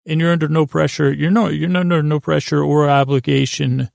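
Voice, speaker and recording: Male sleep-podcast host, heavily gravelly fry, halting soothing rambles, close dry sound over soft music. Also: on the high side, little pitch movement, no room sound. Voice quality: creaky, gravelly. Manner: meandering, halting, stammering and repeating himself; lulling, soothing.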